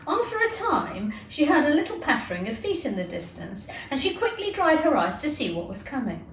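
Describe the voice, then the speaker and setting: A woman reading a children's story aloud in an animated, deliberate, theatrical way. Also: high voice, slight background noise. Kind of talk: expressive children's storybook narration. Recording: roomy, distant microphone.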